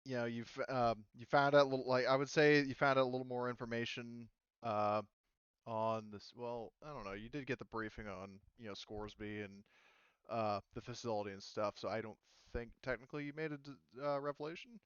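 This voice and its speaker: A man chatting casually as a game master, explaining things in a halting, conversational way. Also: mid-range voice, steady tone, smooth, no echo, lo-fi sound. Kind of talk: casual chat, running a tabletop game session.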